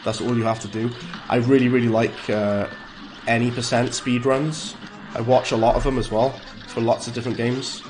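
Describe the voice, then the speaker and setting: A man's voice: medium pitch, level tone, moderate pace, rough recording. A man with a Welsh accent streaming a game live, chatting casually, greeting viewers and reacting aloud to the play. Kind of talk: live gameplay commentary and chat replies.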